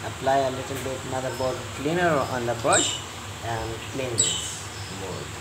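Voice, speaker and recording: Slow, halting man giving repair instructions. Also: medium pitch. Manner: halting, repetitive, instructional delivery in short phrases. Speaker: repair technician and channel host. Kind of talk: step-by-step hands-on repair tutorial. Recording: clear steady hum of room noise.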